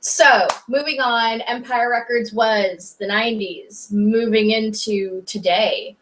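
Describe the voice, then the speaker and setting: A woman co-hosting a casual movie review chat, chatty and quick to jump in. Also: fairly high pitch, fairly bright tone, slight background noise, rough recording. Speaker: podcast co-host.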